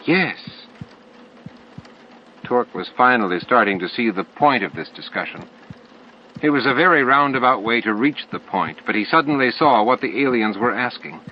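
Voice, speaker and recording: A man's voice: medium pitch, animated, brisk, muffled recording, a little hiss or hum. A man narrating a fiction audiobook in a scripted, read-aloud storytelling style.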